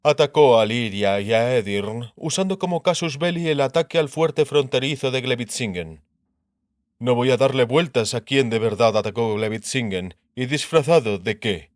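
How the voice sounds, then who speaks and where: A man voicing an assured, sharp-minded king in an audiobook, lecturing on war in declarative phrases and rhetorical questions. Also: medium pitch, normal speed, no echo, clean studio sound, close to an everyday voice. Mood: authoritative lecturing. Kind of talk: dramatised audiobook dialogue in Spanish.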